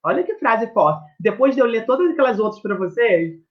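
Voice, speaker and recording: A man's voice: extremely high pitch, animated, a little fast. Reflective Brazilian man, dull lo-fi sound. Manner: reflective, emphatic, frequent tag questions. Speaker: researcher and teacher guest. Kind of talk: explaining his research in conversation.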